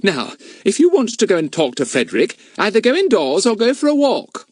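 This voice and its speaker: A narrator voicing a brisk, no-nonsense middle-aged mother in a storybook. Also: mid-range voice, huge pitch swings, dry recording, clean studio sound, voice pushed up. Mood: impatient. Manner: brisk and impatient.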